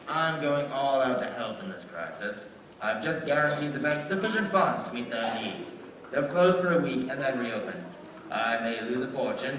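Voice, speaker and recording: A man's voice: mid-range voice, a bit bright, natural voice. A man playing a sly, greedy, menacing old banker in a stage radio play. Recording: very echoey hall, distant, clear noise.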